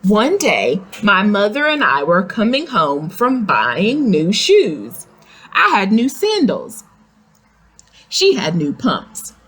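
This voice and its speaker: A woman reads a picture book aloud for young children at an unhurried, very slow pace. Her medium-pitched voice moves with animated expression. She speaks simply, as someone explaining and checking that small listeners follow. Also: a little hiss or hum. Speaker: read-aloud storyteller.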